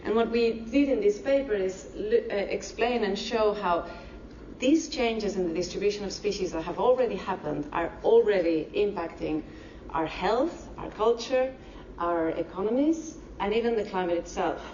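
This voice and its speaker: A woman from Barcelona with a Spanish accent giving a public science lecture, speaking slowly and carefully. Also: mid-range voice, darkish tone, a little hiss or hum. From Spain. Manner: deliberately slow, careful explanations. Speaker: marine ecology lecturer. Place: university lecture hall. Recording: echoey lecture hall, distant dull mic.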